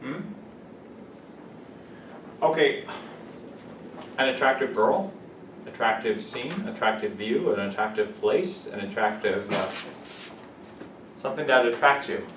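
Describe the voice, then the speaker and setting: A man's voice: mid-range voice, unhurried, pauses often, noisy background. Playful male English teacher in echoey classroom. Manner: playful, laughs often, gives example sentences. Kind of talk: classroom vocabulary lesson. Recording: echoey classroom, camera from a distance.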